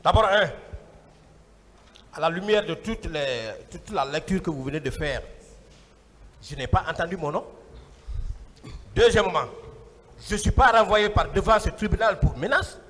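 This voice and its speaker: High, animated man arguing in an echoing hall. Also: normal speed, long pauses, a little hiss or hum. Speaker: defendant answering at a trial. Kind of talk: formal courtroom reply. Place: large courtroom. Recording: reverberant courtroom, long echo.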